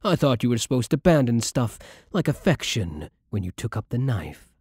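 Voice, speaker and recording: A man playing a seductive, menacing vampire lord in an ASMR roleplay, with a breathy voice and a silky, theatrical, condescending manner. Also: medium pitch, very animated, darkish tone, no echo. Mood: mock-tender, probing. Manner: slow, theatrical, silky condescension with dropping murmurs. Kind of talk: ASMR roleplay, scripted monologue to the listener.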